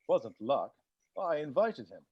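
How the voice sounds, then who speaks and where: An actor voicing an excitable butler who explains a murder mystery in a theatrical, emphatic way during a comedy table read. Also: mid-range voice, unhurried, lo-fi sound, crowd noise. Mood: explanatory, confident. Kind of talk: live table read of a comedy film script.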